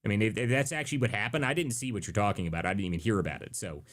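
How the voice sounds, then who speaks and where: A man hosting a live political talk show, speaking in an emphatic way with lots of restarts. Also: fairly low pitch. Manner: animated, fast, emphatic, many restarts. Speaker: host.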